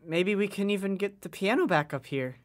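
A man's voice: high-pitched, a little fast, lightly acted. A man playing an earnest, worried working-class boy in a nostalgic period audio drama, with folksy old-time slang and an eager, sincere manner. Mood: troubled.

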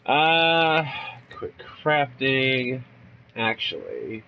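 A man's voice: medium pitch, animated, drawn-out words, lo-fi sound, a little hiss or hum. A young man with a congested voice from a cold, chatting casually and rambling through a let's play from a room at home. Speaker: gaming YouTuber.

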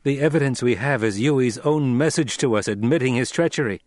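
A man voicing a young nobleman in an audiobook, a fiercely loyal son who speaks with deliberate, commanding intensity and deadly control. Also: medium pitch, a little mellow, no room sound, studio quality, close to an everyday voice. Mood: solemn, passionate. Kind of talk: audiobook character acting.

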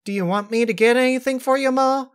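A man's voice: extremely high pitch, fast talker, clear, resonant tone, voice pushed up. A man voicing an earnest, fussing young country pony daughter in a story reading, with an eager folksy manner. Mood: solicitous. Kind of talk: dramatized fan-fiction reading.

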